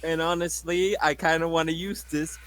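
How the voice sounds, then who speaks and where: A man's voice: a little high, level tone, normal speed, fairly bright tone. A man playing a tabletop role-playing game casually in character, over a slightly noisy recording.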